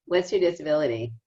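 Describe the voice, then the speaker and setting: A woman host with a very low voice, putting short, direct questions to her guest. The sound is muffled and lo-fi. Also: dark tone. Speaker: podcast host. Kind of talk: interview questions.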